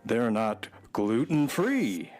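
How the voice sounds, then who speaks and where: Wry, lively horse sidekick. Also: male voice, mid-range voice, slow, soft background music. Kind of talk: children's radio drama acting.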